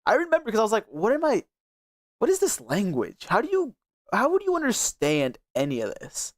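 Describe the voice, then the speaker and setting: A man's voice: sits high, huge pitch swings, fairly quick. A young man streaming, chatting casually and rambling with many restarts. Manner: rambling, restarts a lot, laughs often. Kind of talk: casual chat.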